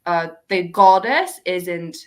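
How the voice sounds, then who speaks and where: A woman's voice: fairly low pitch, expressive, slow pace. A woman chatting casually and effusively about books as a co-host, with gushing, slangy talk. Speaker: book discussion co-host. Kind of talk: casual book chat.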